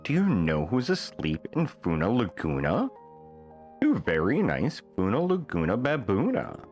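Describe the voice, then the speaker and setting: A man reading a rhyming children's bedtime story aloud in a playful, theatrical manner with deliberate phrasing. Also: on the low side, huge pitch swings, a little mellow, soft background music. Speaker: storybook reader.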